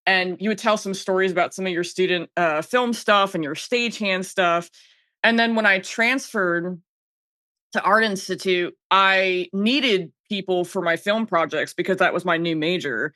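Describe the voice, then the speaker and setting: American woman in her 30s, bright voice, super chatty and open, dry close sound. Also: lowish voice, moderate pace, no echo. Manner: chatty, candid, giggly. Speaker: podcast guest, filmmaker. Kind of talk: casual chat.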